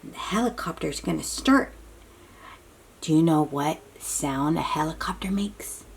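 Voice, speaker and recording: A woman reading a picture book aloud to young children in an animated, breathy, questioning way, drawing out her words. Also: sits low, a little hiss or hum. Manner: animated, drawn-out, questioning delivery aimed at little children. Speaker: children's read-aloud host.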